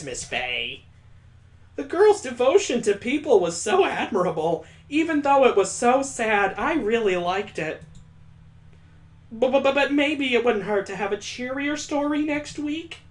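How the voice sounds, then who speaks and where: Playful man reading a visual novel. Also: high-pitched. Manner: playful, joking asides. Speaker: let's play host.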